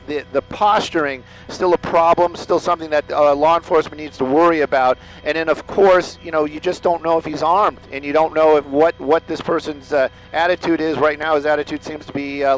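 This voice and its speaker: An American man working as an aerial news reporter, giving halting, repetitive, ad-libbed live commentary on breaking news. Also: fairly high pitch, low-quality recording.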